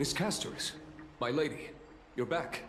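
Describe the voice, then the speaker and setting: A man's voice: a little high, normal speed, natural voice. A man voicing a nervous, apologetic attendant in a video game, with a formal and servile manner. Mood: respectful. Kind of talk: video game story acting. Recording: roomy echo.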